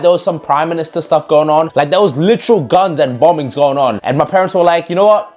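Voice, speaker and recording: Animated young man from Pakistan. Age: young adult. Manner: animated, jokey, slangy, with swings in pitch. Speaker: vlogger. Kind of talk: casual storytelling.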